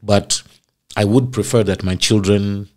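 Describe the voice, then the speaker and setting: Low-voiced man in a relaxed interview. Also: unhurried. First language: not Swahili. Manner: expansive, storytelling, acts out quoted voices. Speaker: actor being interviewed. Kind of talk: casual interview chat.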